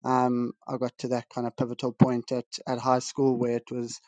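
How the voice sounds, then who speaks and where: A man from Zimbabwe, a guest on a video call, tells his story in a loose, modest ramble and keeps circling back on his own words. His voice sits mid-pitch and stays flat. The sound is dry and close. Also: moderate pace, no room sound, muffled recording. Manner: rambling, self-restarting, modest. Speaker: guest artist. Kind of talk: casual video-call interview. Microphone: video call over a weak connection.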